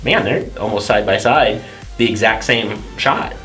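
A man in his thirties co-hosting a gaming show in casual chat, animated, slangy and joking. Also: fairly low pitch, a little slow. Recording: roomy echo, music underneath. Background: music.

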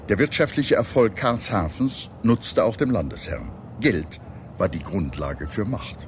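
Deep-voiced man narrating history. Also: background noise. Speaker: documentary narrator. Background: music behind the narration.

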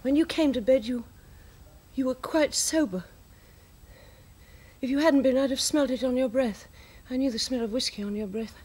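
A woman's voice: high voice, moderate pace, darkish tone, husky. A woman playing a lonely, agitated widow in an intimate drama, hesitant and questioning, often repeating herself. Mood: accusing. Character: lonely, agitated widow talking to her dead husband. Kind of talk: intimate dramatic monologue acting.

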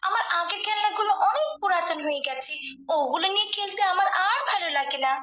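A woman's voice: very high-pitched, lively intonation, fast, reverberant, a crowd in the background. A woman voicing a willful, sulky young daughter in a moral cartoon. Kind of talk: cartoon dialogue.

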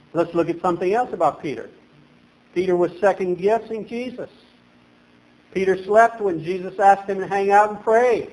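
A man in his thirties preaching a sermon from a lectern in a small chapel, animated and emphatic, recorded by a camera at a distance. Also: high voice. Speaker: pastor.

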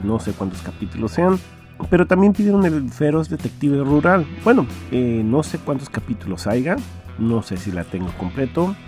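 A man's voice: mid-range voice, dark tone. A man with a Mexican accent giving a casual, rambling introduction as a podcast host. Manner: rambling, repetitive, frequent verbal tags.

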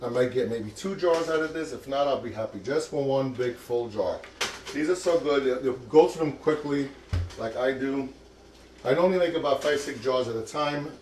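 A man's voice: medium pitch, normal speed, background noise. An older man hosting a casual cooking demonstration in his home kitchen, chatting and encouraging viewers as he works. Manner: chatty, encouraging, talks while working. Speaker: cooking show host.

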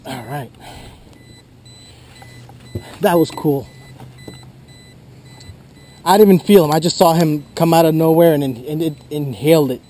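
A young man vlogging while fishing from a boat outdoors, talking casually with lots of filler words, getting excited when he hooks a fish. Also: fairly high pitch, normal speed, long pauses, slight background noise. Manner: excitable, laughing, many "uh" fillers. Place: outdoors on a small boat on a river.

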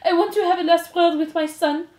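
Wailing mouse mom for a storybook, high voice, super dramatic, roomy sound. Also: female voice, fairly even intonation, darkish tone. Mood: pleading, distraught. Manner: melodramatic, drawn-out pleading. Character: overwrought French mouse mother, theatrical and wailing.

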